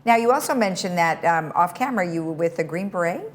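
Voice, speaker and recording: A woman's voice: on the low side, lively intonation, fast talker. A woman hosting an interview, encouraging her guest with frequent short affirmations. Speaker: interviewer host. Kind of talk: interview chat.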